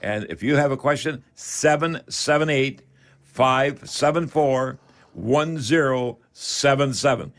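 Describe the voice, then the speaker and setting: A man's voice: mid-range voice, slow pace, a bit bright. An older man with a Canadian accent hosting a chatty radio call-in show in a folksy, rambling manner.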